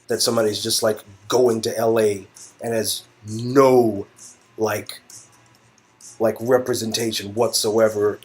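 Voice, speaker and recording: A man narrating a story game as co-host, mixing scripted narration with casual banter. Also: medium pitch. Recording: music in background.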